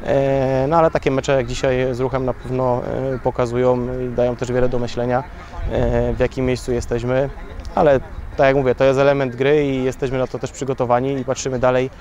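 A man in his thirties, a youth football coach, talking after a match outdoors beside a pitch, hesitant and full of fillers. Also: little pitch movement, darkish tone. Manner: hesitant, many fillers, measured. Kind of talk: post-match interview.

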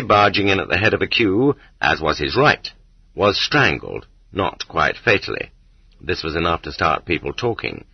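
A man's voice: sits low, breathy edge, no echo. A man reading a nonfiction audiobook as scripted narration, on a dull cassette recording. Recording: dull lo-fi cassette transfer, dry.

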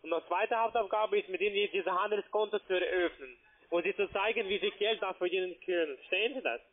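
A high-voiced man speaks with a foreign, non-native accent. He sells investments over a thin, tinny phone connection, stumbling politely through broken phrasing in a formal sales pitch. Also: fast talker. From Slovakia. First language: not German. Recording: narrow-band, tinny phone line.

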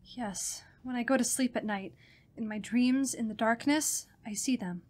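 Haunted fiancee, female actress, radio horror drama: young, frightened, higher-pitched voice. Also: measured pace, darkish tone. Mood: haunted, fearful.

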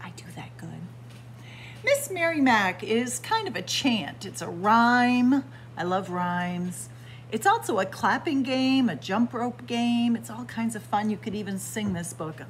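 A woman's voice: on the high side, animated, a little slow, slight background noise. A woman in her sixties, a children's librarian, reading a picture book aloud in a library, chanting the rhymes in a sing-song way with playful asides, close to a webcam. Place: library with bookshelves. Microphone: webcam at close range.

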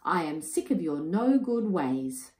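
A woman's voice: on the low side, big pitch swings, slow, mellow. A woman doing a scolding mother emu. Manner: scolding, emphatic. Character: fed-up female emu mother, sharp-tongued. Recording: roomy echo.

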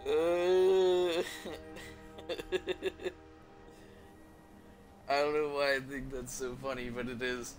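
A man's voice: medium pitch, level tone, a little fast, hardly any pauses, a bit bright, soft background music. A man giving casual, profane and easily amused commentary while streaming a game from a room at home.

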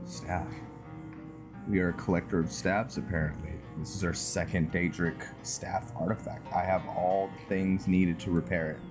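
A man's voice: low-pitched, fairly even intonation, normal speed. A man giving casual playthrough commentary on a roleplay game, thinking aloud with mild exclamations. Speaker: gaming host. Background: game music.

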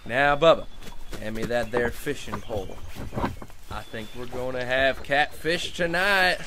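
A man's voice: mid-range voice, measured pace, bright, forceful tone, a little hiss or hum. An actor playing a bossy, exasperated redneck fisherman with a Southern US accent in a comedic audio drama, scolding in folksy rural phrases. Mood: eager.

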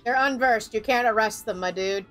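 A woman's voice: fairly high pitch, normal speed, fairly bright tone. A woman streamer giving casual, playful game commentary, silly and exclamatory, often repeating her phrases. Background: game music.

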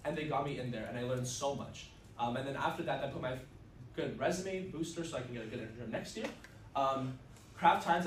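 Fast-talking young man in an echoey classroom. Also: medium pitch. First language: Russian. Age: young adult. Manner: upbeat, chatty, many fillers. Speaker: student club presenter. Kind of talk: casual presentation. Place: classroom, indoors, mid-sized. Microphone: far camera. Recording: roomy, distant, faint ambient noise.

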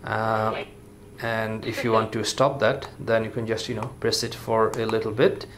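A man's voice: on the low side, moderate pace, noisy background. Easygoing man reviewing a gadget. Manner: relaxed, filler-heavy, says "you know" often. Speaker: product reviewer. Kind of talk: casual hands-on product demonstration. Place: indoor room at a table.

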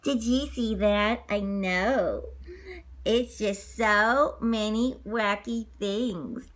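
A woman's voice: medium pitch, very slow, a bit bright. A woman doing a playful children's read-along, exclaiming, repeating phrases and adding her own asides. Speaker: storybook reader for children.

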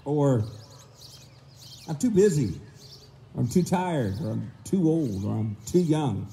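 A hoarse man preaching outdoors into a stand mic, his voice very dark and mellow. He slows down to stress key words and breaks into quick mimicked excuses. Faint birdsong and open-air hush sit behind him. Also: medium pitch, sing-song, a little hiss or hum. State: hoarse, voice gone low. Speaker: minister. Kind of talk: sermon. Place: open park pavilion outdoors. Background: faint outdoor ambience with birds.

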